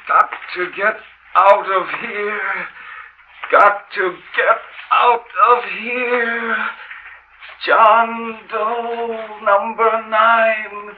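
A man playing a dazed walking corpse who chants haltingly and repetitively in a vintage radio horror drama. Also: sits high, noisy background. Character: dazed male walking corpse, mindlessly repeating. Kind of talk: 1940s radio horror acting. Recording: thin, phone-like old radio recording.

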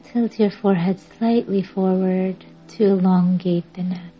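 A woman guiding a yoga nidra meditation in a measured, soothing, instructing way with long pauses. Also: mid-range voice, fairly even intonation. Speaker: meditation podcast host and guide. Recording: dull lo-fi audio under music. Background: music.

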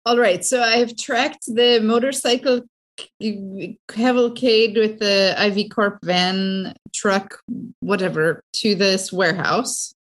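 A woman's voice: medium pitch, steady tone, takes it slowly. A woman chatting casually as a player in a tabletop role-playing game.

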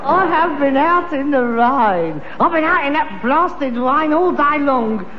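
A man's voice: very high-pitched, lively intonation, slow pace, some chatter behind, full character voice. An actor playing a frustrated grown son, working the rag-and-bone trade and ranting at his father in a 1960s radio sitcom. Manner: indignant, theatrical ranting. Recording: dull 1960s radio broadcast with hiss and a roomy reverb.

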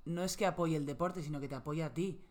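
A woman's voice: deep voice, quick pace, darkish tone. A young woman from Spain talking casually as a guest, chatty, with many fillers and frequent laughs. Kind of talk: casual conversational interview.

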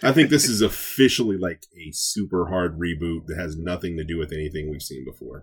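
A man's voice: sits low, fairly quick, husky. A man co-hosting a podcast, talking casually and enthusiastically about nerd news with playful joking. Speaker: podcast co-host.

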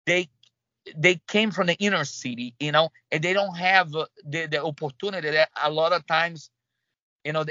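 A man from Brazil with a Brazilian Portuguese accent, a guest in an interview, talking in halting bursts with many restarts and fillers. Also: on the high side, sharp tone, no echo, dull audio. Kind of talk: conversational interview.

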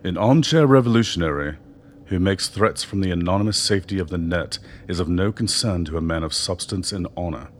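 An actor in a radio drama playing an older doctor, a persuasive political mentor who speaks in measured, eloquent phrases. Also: male voice, deep voice, close to an everyday voice. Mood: assured, persuasive. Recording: noticeable background noise.

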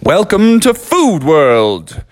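Candy Bar Carl, a squeaky candy bar. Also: male voice, huge pitch swings, drawn-out words, no room sound, studio quality, exaggerated voice. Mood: cheerful. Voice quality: very high, squeaky. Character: tiny squeaky male candy bar creature.

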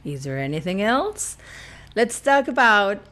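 A woman's voice: mid-range voice, sing-song, measured pace, pushed and bright. A young woman from Argentina with an Argentine accent, chatting playfully as a podcast guest and laughing often. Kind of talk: casual chat.

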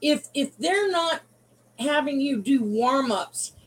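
Casting note: woman in her sixties, ex-wrestler guest, high voice, blunt, no-nonsense talk. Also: lively intonation, slow pace, poor audio. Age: 60s. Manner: frank, blunt, teasing. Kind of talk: casual interview chat.

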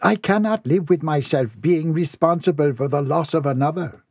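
A man's voice: fairly high pitch, moderate pace, a little mellow, breathy edge, no echo, voice pushed up. A man voicing an anxious but authoritative woman housekeeper in a story. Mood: troubled. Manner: commanding, grave.

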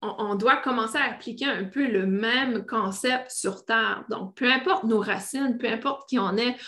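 A woman's voice: fairly high pitch, moderate pace, fairly bright tone. A woman with a French Canadian accent talking off the cuff to online viewers as a spiritual teacher, often searching for words and repeating phrases. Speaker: spiritual teacher hosting a live stream. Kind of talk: unscripted live talk to online viewers.

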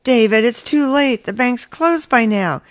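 A man's voice: extremely high pitch, measured pace, no room sound, voice pushed up. A man voicing worried, pleading farm women in an audiobook, anxious and insistent.

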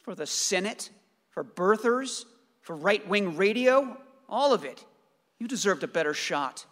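An actor playing an excitable, adoring neighbor in a radio play, earnest and effusive in his devotion. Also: high voice, measured pace, in a large hall.

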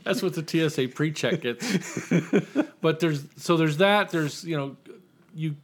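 A man's voice: fairly high pitch, expressive, measured pace. A tipsy American man from Indiana chatting casually as an author guest, rambling, self-deprecating and profane. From Indiana, United States. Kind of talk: casual chat.

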